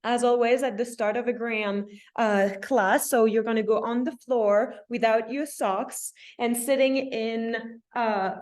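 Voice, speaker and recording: A woman's voice: on the high side, steady tone, measured pace, few pauses, a little mellow. A woman from France with a French accent, instructing a dance class in an encouraging way and often checking in with her students.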